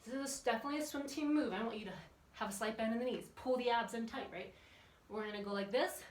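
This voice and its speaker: A woman's voice: a little high, fast talker. A woman leading a children's workout, chatty and encouraging, putting questions to her young listeners as she instructs. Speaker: kids' exercise and yoga instructor. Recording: echoey room, distant mic, background noise.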